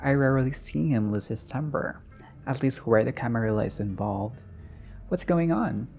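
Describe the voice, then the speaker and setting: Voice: medium pitch, expressive, normal speed, creaky, mellow, soft background music. A video game streamer reading a visual novel aloud and dropping blunt, sweary reactions in a casual let's play.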